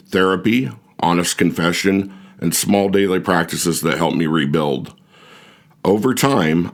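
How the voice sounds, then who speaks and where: Deep-voiced male audiobook narrator. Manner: measured, even reading. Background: faint music.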